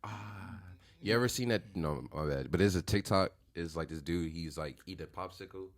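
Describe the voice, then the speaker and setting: A man's voice: deep voice, a little fast, dry recording. A man co-hosting a podcast in a casual, joking way. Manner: joking, laughs often. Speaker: podcast co-host.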